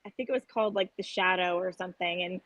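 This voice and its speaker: A woman's voice: lowish voice, fairly even intonation, a bit bright, dry recording, muffled recording. Earnest young woman, dry close sound. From South Texas. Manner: earnest, quick talker with frequent restarts. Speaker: singer-songwriter guest. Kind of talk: casual interview conversation.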